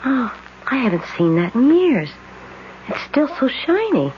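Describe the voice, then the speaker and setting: A woman's voice: sits high, big pitch swings, measured pace. An actress playing a tired, unwell young woman, a twin sister, in a 1970s radio drama, speaking drowsily. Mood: weary. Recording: dull vintage radio recording with hiss.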